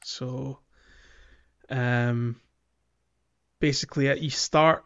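A man's voice: mid-range voice, fairly even intonation, very slow, fairly bright tone, clear, resonant tone. A man casually recapping a video game as a podcast host, explaining in a hesitant, giggly way. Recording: slightly dull audio, music underneath. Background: background music.

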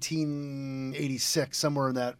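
A man's voice: medium pitch, level tone, brisk, clear, resonant tone, no echo. A man in his fifties from Connecticut, a radio guest telling personal stories in a talkative, rambling interview style. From Connecticut, United States. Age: 50s. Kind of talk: casual interview, personal storytelling.

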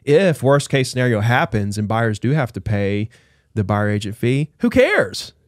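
A man who works as a real estate agent, talking in an animated, chatty panel debate with lots of fillers. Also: mid-range voice, moderate pace, dry recording, studio quality.

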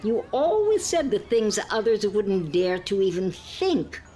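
A woman's voice: medium pitch, very animated, a little slow, dark tone. A woman playing a genteel, judgmental elderly friend in an audio play, prim and sighing, with politely pointed barbs. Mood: sweetly barbed. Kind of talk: scripted two-hander audio comedy-drama.

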